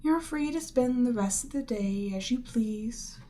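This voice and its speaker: A woman voicing a proud, seductive demon queen in a scripted ASMR roleplay, haughty and measured, lapsing into breathy near-whispers. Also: a little high, expressive, warm tone, a little hiss or hum. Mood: cool, composed.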